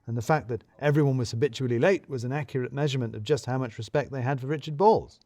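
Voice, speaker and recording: A man's voice: mid-range voice, fast, no room sound. Wry male storyteller, very dry recording. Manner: wry, deadpan. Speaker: narrator. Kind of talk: first-person scripted story narration.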